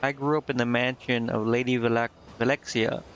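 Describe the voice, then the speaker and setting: A man's voice: medium pitch, little pitch movement, fairly quick, a bit bright, dull audio. A man streaming a game, reading its dialogue aloud with casual commentary and stumbling now and then before correcting himself. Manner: stumbles over read text and corrects himself. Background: game music.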